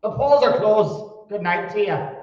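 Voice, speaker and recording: A polite, folksy city official in an old radio comedy, acted by a man. His voice is high and clipped, heard from a distance in a very echoey room. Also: lively intonation, measured pace, darkish tone. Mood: polite, businesslike. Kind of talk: 1940s radio comedy acting. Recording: echoey hall, distant mic, muffled.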